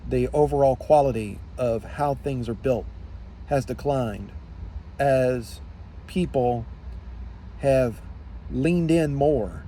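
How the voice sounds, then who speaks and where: A man delivers an off-the-cuff opinion monologue at a slow, halting pace. His voice is mellow and mid-pitched, and he repeats phrases for emphasis. There is faint vehicle and outdoor noise behind him. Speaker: vlogger giving opinion.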